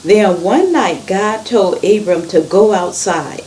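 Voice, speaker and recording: Slow, swooping woman storyteller, faint hiss. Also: medium pitch. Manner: expressive, deliberate storybook delivery. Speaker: storyteller reading a children's book aloud. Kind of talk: read-aloud children's Bible story.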